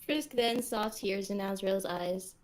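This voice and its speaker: A woman with an unhurried delivery and a mid-range pitch. She moves between reading story narration and relaxed, casual podcast chat, taking her time over the words.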